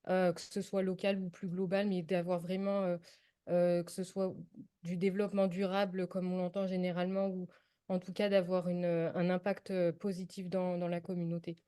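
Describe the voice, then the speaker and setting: A woman's voice: lowish voice, little pitch movement, normal speed, mellow, clear, resonant tone, no room sound, studio quality. A woman answers as a participant in an online training, hesitantly, searching for her words.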